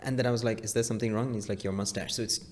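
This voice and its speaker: A man's voice: fairly low pitch, steady tone, darkish tone, slight background noise. Man casually joking on a comedy podcast. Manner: joking, quick to echo and agree.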